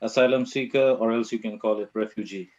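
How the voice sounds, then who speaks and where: A man's voice: fairly low pitch, steady tone, fairly quick, darkish tone, muffled recording, slight background noise. A man introducing himself hesitantly on a video call. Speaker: discussion participant. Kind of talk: casual self-introduction.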